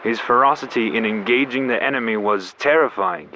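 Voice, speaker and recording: A man's voice: medium pitch, fairly quick, sharp tone, a little hiss or hum, lightly acted. A man playing an earnest, devoted sailor husband in a 1940s radio play, speaking in a stately, tender way. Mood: solemn. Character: earnest, gallant American sailor, devoted elder brother and husband. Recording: dull radio-style audio, faint music.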